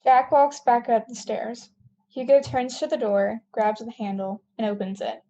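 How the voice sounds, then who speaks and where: A woman's voice: on the high side, fairly even intonation, moderate pace, dark tone, lo-fi sound. A woman narrating scripted stage directions for a table read.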